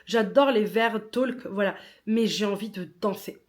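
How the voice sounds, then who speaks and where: A woman's voice: medium pitch, unhurried. A woman in her thirties, not a native speaker of French, talking casually and personally about her plans as a podcaster and writer, often switching into English mid-sentence and laughing at herself. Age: 30s.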